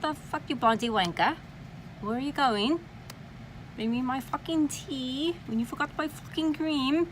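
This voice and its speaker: A woman putting on a crude, cursing Englishman with a mock British accent, demanding his tea in a shrill, theatrical voice. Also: measured pace. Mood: indignant. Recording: noisy background.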